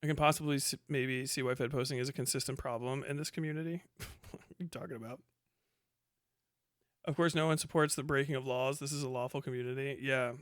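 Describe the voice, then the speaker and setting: Bright, punchy male streamer, profane and animated. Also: medium pitch, quick pace, clear, resonant tone, no room sound, clean studio sound. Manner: profane, slangy; animated, sarcastic, voices imagined quotes. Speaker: live streamer. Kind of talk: casual livestream commentary reacting to chat.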